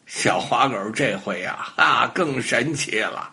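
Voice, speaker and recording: A man narrating a children's fairy tale in an animated storytelling style. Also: mid-range voice, slow, gravelly.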